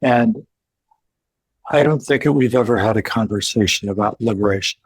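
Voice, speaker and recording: An older man asking careful, halting questions on a video call from his living room. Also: lowish voice, husky, no echo, low-quality recording. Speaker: guest interviewer. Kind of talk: interview questions in conversation.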